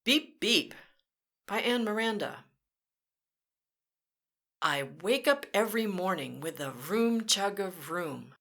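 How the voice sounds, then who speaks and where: A woman's voice: medium pitch, very animated, takes it slowly, pauses often, fairly bright tone. A woman reading a picture book aloud to children, in a sing-song way, with playful vehicle sound effects. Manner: talks directly to children. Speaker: children's storyteller. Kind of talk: read-aloud storytime.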